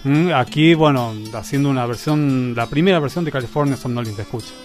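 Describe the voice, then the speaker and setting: A man's voice: mid-range voice, a little fast, over music. A man talking conversationally in a radio interview, explaining things and rephrasing as he goes. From Argentina. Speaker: record archivist guest. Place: radio programme.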